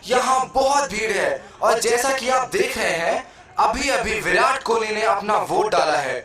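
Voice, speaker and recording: A man's voice: high-pitched, lively intonation, measured pace, pushed and bright, heavily acted. A man playing an eager comic TV news reporter, announcing in a mock report for a puppet show. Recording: very echoey hall, distant, crowd noise.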